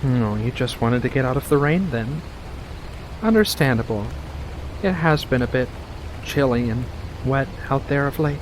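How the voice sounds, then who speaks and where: A man voicing a playful, coy female witch with faint menace in a soft-spoken ASMR roleplay, speaking in a coaxing, deliberate way with pauses. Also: medium pitch, lively intonation, noisy background, lightly acted. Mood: coy, musing.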